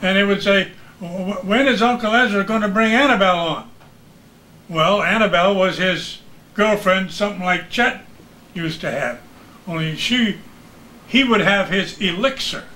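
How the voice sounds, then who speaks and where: A man's voice: sits high, moderate pace, bright, forceful tone, poor audio. An American man, a veteran broadcaster, telling casual stories as a guest. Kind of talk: casual storytelling.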